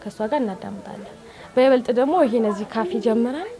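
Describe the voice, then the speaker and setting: Woman, casual chat, rapid-fire delivery, raised pitch, expressive. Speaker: radio drama listener.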